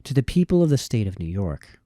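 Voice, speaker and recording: A man reading formal historical prose aloud in a measured, deliberate way, with occasional stumbles over words. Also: medium pitch, big pitch swings, mellow, no room sound, studio quality. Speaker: reader, host of a reading series.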